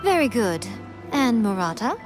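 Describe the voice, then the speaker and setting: An elegant fox priestess from an anime game, with a fairly high voice. She is poised, teasing and theatrically self-assured, with music playing behind her. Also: big pitch swings, unhurried. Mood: commanding, composed. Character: sly, elegant fox shrine maiden and publishing boss. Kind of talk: anime video game voice acting.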